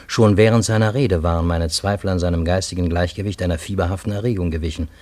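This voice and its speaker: An actor playing a wry, reflective man who narrates his own story in a radio play, with measured, literary phrasing. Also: low-pitched, darkish tone, no echo. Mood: wonderstruck. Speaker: first-person narrator.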